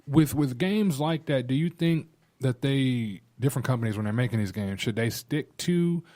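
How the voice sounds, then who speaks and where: A man's voice: medium pitch, expressive, moderate pace, dark tone. A man chatting casually in a podcast conversation, with slang and some casual swearing. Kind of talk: casual chat.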